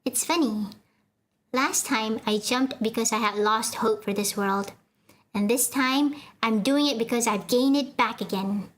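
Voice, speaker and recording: A woman's voice: fairly high pitch, a little slow, a bit bright. Woman voicing a stern army general. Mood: resolute.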